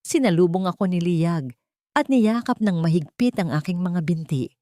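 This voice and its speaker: A Filipino-accented woman narrates a dramatic first-person story in a low voice. The sound is dry, with very little room to it, and the delivery is that of a scripted audiobook. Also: expressive, normal speed, mellow, dry recording.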